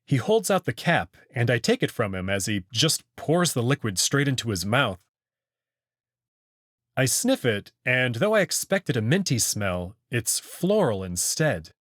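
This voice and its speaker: A man's voice: mid-range voice, a bit bright, no echo, clean studio sound. A man narrating a visual novel as the series host, reading scripted storytelling prose.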